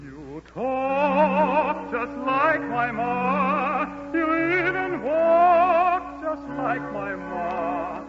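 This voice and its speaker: Casting: a dutiful young army corporal, high lively voice, 1940s radio musical, dull old broadcast with music. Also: male voice, extremely slow, hardly any pauses, big-room sound, exaggerated voice. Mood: tender singing. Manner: plain folksy dialect, steady and respectful.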